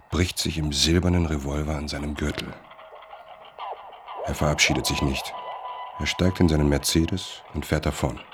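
Brooding first-person narrator, radio drama, very low pitch, slow sparse phrases, resigned, noisy ambience. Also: male voice, full character voice. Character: resigned East German journalist narrating his own story.

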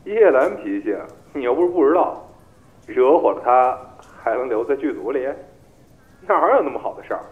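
Man acting an animated, pragmatic talent manager. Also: medium pitch, normal speed, phone-quality sound, natural voice. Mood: explaining. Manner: explanatory, persuasive. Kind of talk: acted dramatic dialogue.

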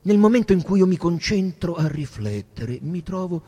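An actor playing a reflective Venetian merchant traveller, reciting theatrically with emphatic, incantatory phrasing and dramatic pauses. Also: male voice, high voice, sing-song, dark tone, slightly rough, heavily acted. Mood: calm, contemplative. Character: Venetian merchant traveller, reflective, vivid storyteller of imagined cities. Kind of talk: theatrical literary recital over live music. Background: live saxophone music.

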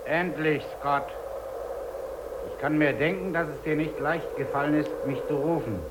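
Higher-voiced rival explorer played by a man, loud buzz. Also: steady tone, normal speed, close to an everyday voice. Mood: knowing, composed. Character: summoned rival male polar explorer, knowing, composed. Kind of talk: 1950s German radio drama dialogue. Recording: loud buzzing humming noise, old radio.

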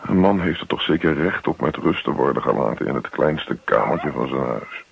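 An actor playing a grumpy, weary middle-aged husband in a naturalistic radio play, grumbling and sarcastic. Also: male voice, very deep voice, lively intonation, moderate pace, vocal fry, slight background noise, exaggerated voice. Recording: dull, dry radio play recording.

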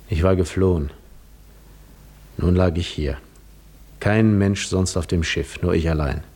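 An actor playing a weary, proud seafarer lost in memories, in a poetic, theatrical 1950s radio monologue. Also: male voice, low voice, darkish tone. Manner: poetic, reflective, theatrical delivery.

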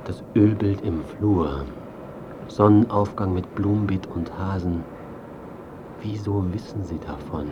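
An actor playing a disillusioned, self-absorbed biographer in a radio drama, speaking and narrating in a dry, clipped, reflective way. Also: male voice, sits low, measured pace. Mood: puzzled, subdued. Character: disillusioned male biographer, self-absorbed, adrift, dryly bewildered. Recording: muffled, clear background ambience noise.

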